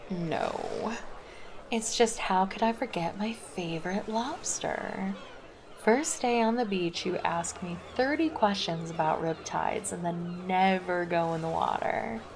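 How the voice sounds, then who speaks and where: A relaxed, flirty lifeguard, as a woman plays her with a low, gravelly voice, drawling slowly and teasing between easygoing remarks. The ASMR roleplay sits over loud beach ambience. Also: background noise, exaggerated voice. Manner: casual, teasing, easygoing chatter.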